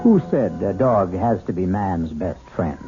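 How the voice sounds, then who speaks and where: Male radio mystery host, very animated intonation, wry theatrical narration, muffled old recording, music behind. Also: deep voice, a little slow.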